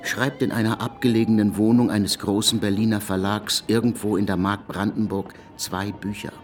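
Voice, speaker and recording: An actor playing a self-assured, probing criminologist in a German radio play, speaking formally and precisely. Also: male voice, on the low side, flat delivery, normal speed, darkish tone, soft background music, lightly acted. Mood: confiding, insistent.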